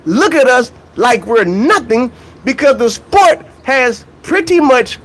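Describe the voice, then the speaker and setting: Animated young man ranting, lively high voice. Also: slow. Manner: animated, repeats rhetorical questions, laughs between points. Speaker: track and field commentator. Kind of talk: casual comedic rant.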